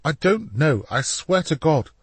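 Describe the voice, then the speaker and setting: A man's voice: a little high, very animated, measured pace, husky, dry recording, voice pushed up, heavily acted. A man doing a terrified, pleading painter. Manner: pleading, raised in fear. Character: frightened male painter pleading for mercy.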